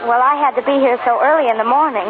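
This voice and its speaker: A woman's voice: high voice, very fast, close to an everyday voice. An actress playing an eager, sweet young woman who hopes for a film career, in a 1940s radio drama. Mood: chatty. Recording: tinny, very noisy old radio recording, crowd behind.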